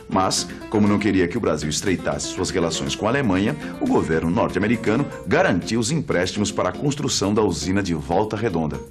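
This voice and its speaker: A man with a Brazilian accent presents a scripted educational explanation in a didactic way, posing rhetorical questions. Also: deep voice. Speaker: presenter of an educational history program.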